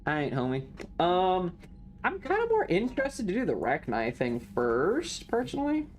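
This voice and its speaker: A woman's voice: on the low side, huge pitch swings, moderate pace, a little mellow. A woman streamer giving casual, sweary, joking commentary while she plays a video game. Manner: joking, irreverent.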